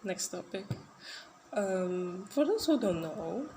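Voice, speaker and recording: A woman in her twenties talks alone in a casual podcast, drifting between thoughts. Her delivery is hesitant and rambling, packed with fillers and false starts, at an ordinary middle pitch. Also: big pitch swings, slow pace, mellow, a little hiss or hum. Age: 20s. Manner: rambling and hesitant, full of "like" and restarts. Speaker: podcast host. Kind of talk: casual solo monologue.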